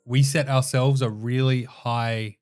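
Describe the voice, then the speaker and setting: Relaxed Australian man, easygoing chat. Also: medium pitch, slow. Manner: relaxed, thoughtful, many fillers like "you know". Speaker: co-streamer guest. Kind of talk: casual interview chat.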